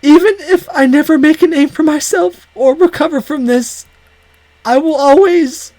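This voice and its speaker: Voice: high-pitched, normal speed, a little mellow, voice pushed up, lightly acted, low-quality recording. A performer voicing a tearful, earnest young woman in a visual novel, pleading with emotion. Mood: tearful resolve. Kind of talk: visual novel character voice acting.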